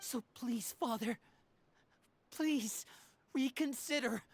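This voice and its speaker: A woman's voice: fairly high pitch, takes it slowly, husky, no room sound, full character voice. A woman voicing an earnest young man who pleads for his adopted family in a video game. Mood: pleading, earnest.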